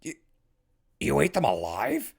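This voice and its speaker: A man's voice: mid-range voice, wildly swooping pitch, unhurried, gravelly, breathy edge, exaggerated voice. A man playing a wry, weary wasteland survivor who runs a radio station, speaking casually and hesitantly in an audio drama. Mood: wry, curious. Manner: casual, hesitant, dryly sarcastic. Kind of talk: naturalistic audio drama acting.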